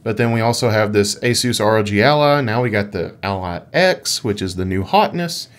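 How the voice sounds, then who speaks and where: A man's voice: on the low side, animated, normal speed. A man co-hosting a casual gaming podcast, with a playful, dryly teasing way of talking. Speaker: podcast co-host.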